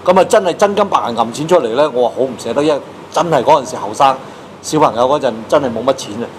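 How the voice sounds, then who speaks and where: A man's voice: medium pitch, lively intonation, fast talker, slight background noise. A man in his fifties with a Hong Kong Cantonese accent, hosting a casual chat in a studio. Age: 50s. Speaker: host. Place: small studio with padded acoustic panels.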